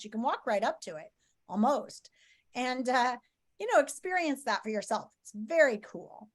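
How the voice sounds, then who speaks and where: A woman teacher giving an informal, step-by-step lesson to students, thinking aloud as she explains. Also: high voice.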